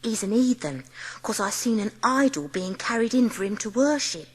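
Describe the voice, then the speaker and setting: A woman's voice: on the high side, lively intonation, normal speed, husky, big-room sound. A woman voicing a humble, chatty young servant girl with uneducated dialect speech in a storybook. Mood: excited, confiding.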